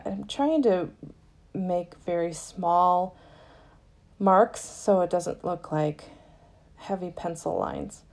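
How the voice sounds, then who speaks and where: A woman with a slightly low, soft-edged and mellow voice hosts a craft tutorial. She talks at a slow, unhurried pace and explains each step gently in casual, everyday narration. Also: vocal fry.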